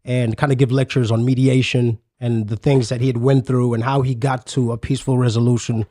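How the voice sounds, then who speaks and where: Guest voice: South African-born man, mid-pitched, dry close mic, thoughtful storyteller. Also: little pitch movement, warm tone, no echo. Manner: reflective, unhurried storytelling with frequent hesitations. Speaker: podcast guest, musician. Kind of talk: casual interview.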